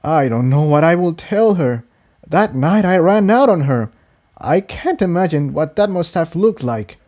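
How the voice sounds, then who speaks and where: A man's voice: on the high side, big pitch swings, measured pace, darkish tone, pitched above the performer's own voice. A man voicing an exhausted, haunted male unicorn pony, weary and strained, in a story reading. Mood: tired, regretful. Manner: weary, strained, sometimes sardonic.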